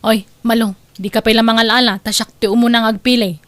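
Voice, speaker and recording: A woman's voice: a little high, little pitch movement, a little fast, a bit bright, natural voice. A woman playing a bossy, scheming housemaid who grumbles easily, in an Ilocano comedy drama. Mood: eager, pushy. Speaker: voice actress.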